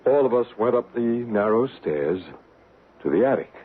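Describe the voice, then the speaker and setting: An actor playing a solemn, deliberate male paranormal investigator who narrates and acts in an old radio mystery drama. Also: mid-range voice, expressive, natural voice. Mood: solemn narration. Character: solemn male paranormal investigator and ghost hunter named Bryce. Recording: noisy, phone-like old radio broadcast recording.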